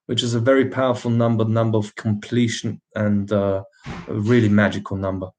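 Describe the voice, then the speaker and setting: A man's voice: lowish voice, little pitch movement, brisk, a little mellow. Man with a slightly British lilt. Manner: emphatic rhetorical questions to viewers; laughs often between remarks. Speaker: livestream host. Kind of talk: casual livestream talk answering viewers.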